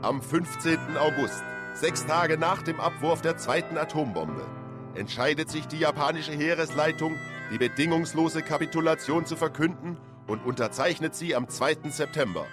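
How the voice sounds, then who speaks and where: A man's voice: on the high side, moderate pace. A man reading scripted historical narration as a radio drama's narrator. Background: music with brass.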